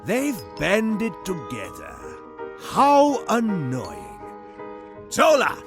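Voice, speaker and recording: An actor playing a cold, imperious Nazi villain commander in an audio drama, slow and contemptuous. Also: male voice, high-pitched, huge pitch swings, full character voice. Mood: cold contempt. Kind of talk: cinematic audio drama acting. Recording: dramatic music bed under voice.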